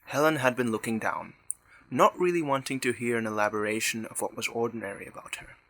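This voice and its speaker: A man's voice: mid-range voice, fairly quick, fairly bright tone, poor audio. A man narrating a scripted dramatic story.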